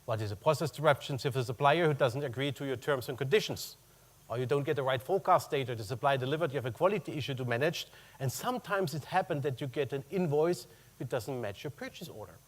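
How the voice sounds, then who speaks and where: A man's voice: medium pitch, lively intonation, quick pace. German-accented man presenting, wry and chatty. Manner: conversational, adds "huh?" tags to check in with the audience; non-native English phrasing, wry asides. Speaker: conference speaker, purchasing executive. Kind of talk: business presentation with slides. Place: conference room.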